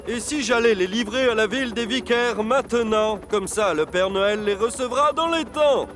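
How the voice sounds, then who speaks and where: A man's voice: high voice, moderate pace. A man voicing a friendly, eager rescue helicopter in a French cartoon, earnest and chatty. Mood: helpful. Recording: loud music behind the voice.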